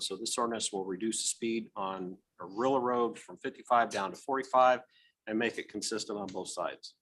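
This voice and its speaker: A man with a Midwestern American accent chairing a city council meeting, moving briskly through agenda items. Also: medium pitch, fairly bright tone, slight background noise, rough recording. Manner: brisk, procedural.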